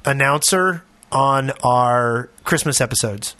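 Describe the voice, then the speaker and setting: A man's voice: mid-range voice, slow, sharp tone. A man co-hosting a podcast with casual, joking banter. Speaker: podcast co-host.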